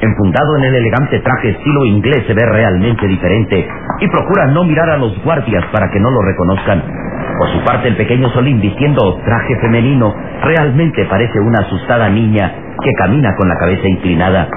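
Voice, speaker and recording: A man's voice: fairly low pitch, expressive, brisk, lightly acted. A man narrating a vintage radio adventure serial in a grave, dramatic storytelling manner. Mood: tense, grave. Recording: noisy muffled old radio serial.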